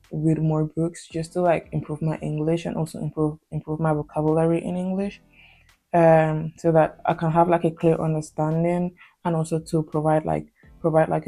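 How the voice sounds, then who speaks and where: Young woman, Spanish native, Spanish accent; mellow, creaky low voice; rambling confessional vlogger with faint music underneath. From Spain. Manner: hesitant, heavy on fillers and restarts. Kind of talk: confessional casual vlog talk.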